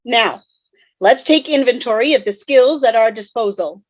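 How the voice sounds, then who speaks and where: A woman's voice: a little high, expressive, moderate pace. A woman playing a pompous, formal male ghost commander in an online table read of a sitcom script. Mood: formal, affronted. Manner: formal, pompous, archaic phrasing. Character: pompous male ghost, old-fashioned military commander rallying troops. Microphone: video call. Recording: dull, roomy video-call sound.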